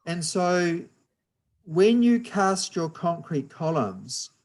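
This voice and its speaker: A man with a New Zealand accent giving a guest lecture on building structures, explaining slowly and patiently over a video call. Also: fairly high pitch, big pitch swings, a little mellow, low-quality recording. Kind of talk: university lecture, slow and deliberate.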